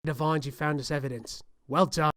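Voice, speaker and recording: A grave, loyal imperial guard played by a man with an Australian or New Zealand accent. His voice sits a little high. It is a dry amateur game audition recorded at home. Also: steady tone, fairly quick, fairly bright tone, dry recording, natural voice. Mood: earnest, grave. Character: grave, loyal male guard of a fantasy empire. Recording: home audition with background bumps and noise.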